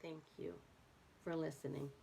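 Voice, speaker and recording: Woman reading a book out loud, takes her time, bit of gravelly fry, leans on her words. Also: sits low, flat delivery, a little slow, a little hiss or hum. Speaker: reader of a book aloud for adults.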